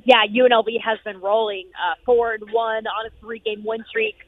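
A woman sports reporter calling in from an arena, upbeat and full of fillers, her voice going hoarse. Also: on the high side, little pitch movement, a little hiss or hum. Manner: upbeat, rapid, many fillers. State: losing her voice. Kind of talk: phone-in sports radio chat. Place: noisy arena. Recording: tinny phone line.

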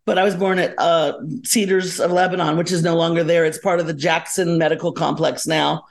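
A woman's voice: fairly low pitch, level tone, a little fast, vocal fry. Chatty mature woman reminiscing. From South Florida, United States. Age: middle-aged or older. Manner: chatty, digressive, self-correcting. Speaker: podcast guest, musician and educator. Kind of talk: casual reminiscing interview chat.

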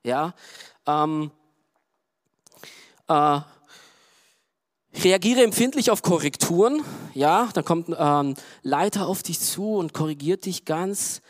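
A middle-aged man from Romania, preaching a sermon in a loose, conversational way, full of fillers and quiet asides. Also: on the high side, expressive, takes it slowly, long pauses. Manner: conversational, frequent "ja" and "ähm" fillers. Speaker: pastor.

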